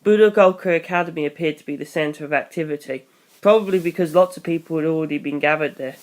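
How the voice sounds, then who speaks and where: Someone reading an action story aloud in first person, middle pitched voice. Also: quick pace. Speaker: audiobook reader.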